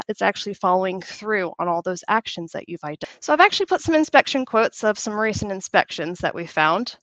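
A woman, slightly hoarse from a cold, presenting a webinar over a video call in a chatty, upbeat way. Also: medium pitch, fairly bright tone, no echo. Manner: chatty, upbeat, frequent "you know". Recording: dry, slightly dull video call audio.

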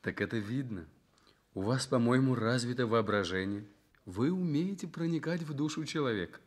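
An actor in a Soviet radio drama playing a lonely, gentle, courteous journalist in a hospital bed, quietly in love. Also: male voice, mid-range voice, expressive, normal speed, close to an everyday voice. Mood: tender curiosity.

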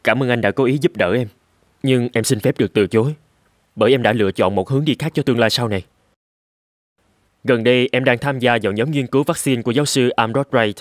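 A man's voice: medium pitch, fairly quick, dry recording, clean studio sound, lightly acted. A man voicing an earnest, idealistic young medical student in a cartoon. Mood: polite, resolute. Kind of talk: cartoon dubbing.

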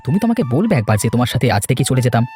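A man's voice: mid-range voice, big pitch swings, racing, darkish tone, soft background music, lightly acted. A man voicing a supportive, plain-spoken village husband in a cartoon, chatty and encouraging. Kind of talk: cartoon dubbing.

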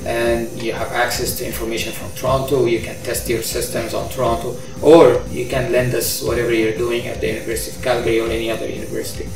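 A middle-aged man from Egypt, an engineering professor, explaining his research in a measured way with frequent ums and uhs, recorded in a large open-plan control center. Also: mid-range voice, level tone, vocal fry. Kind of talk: interview about his research. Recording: roomy, distant sound with music under it.

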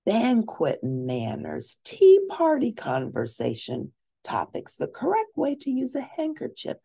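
A woman reading a children's storybook aloud expressively, with drawn-out emphasis for young listeners. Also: fairly high pitch, huge pitch swings, vocal fry, dark tone, husky. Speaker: storybook reader.

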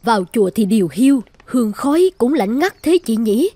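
A woman acting a lively, gossiping village woman. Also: fairly high pitch, moderate pace, faint music behind. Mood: dismissive, grumbling. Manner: chatty, grumbling. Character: gossiping, skeptical village women at a temple.

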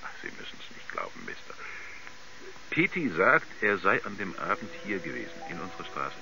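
An actor playing a folksy, skeptical neighbour man with a halting, dismissive way of talking in a radio drama. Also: low voice, wildly swooping pitch, gravelly, over music, exaggerated voice, rough recording. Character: folksy, skeptical male neighbour, chatty and hesitant. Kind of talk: 1970s German radio drama acting. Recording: hissy, band-limited 1970s radio recording.

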